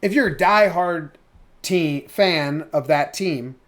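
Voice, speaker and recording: A man's voice: a little high, takes it slowly, a bit bright. A man co-hosting a sports podcast in casual, colloquial chat, swearing freely.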